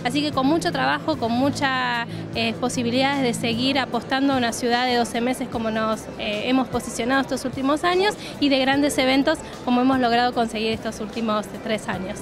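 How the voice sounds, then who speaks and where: A woman's voice: on the high side, a little fast, hardly any pauses, music in the background. A woman in her thirties with an Argentine accent, a city tourism official answering interview questions fluently at a trade fair stand, with many "eh" fillers, into a handheld mic. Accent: Argentine Rioplatense Spanish. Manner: fluent promotional listing, frequent "eh" fillers. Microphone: handheld reporter mic.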